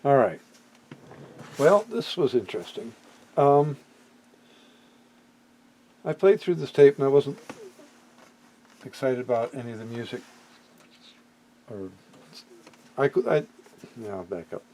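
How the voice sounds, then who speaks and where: A man with a mellow, relaxed voice at a middling pitch talks through a hobby walkthrough. He is unscripted and halting, musing aloud as he goes. Sentences often trail off before he picks up a new thought. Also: a little hiss or hum, rough recording. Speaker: hobbyist video presenter. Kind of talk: casual unscripted product walkthrough.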